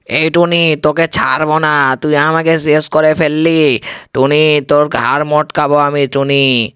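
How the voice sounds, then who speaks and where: Man playing a menacing cartoon witch, raised pitch. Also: steady tone, a little slow, a bit bright, clean tone, no room sound, studio quality. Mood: furious. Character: menacing, bloodthirsty female witch-ghost. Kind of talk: amateur cartoon story voicing.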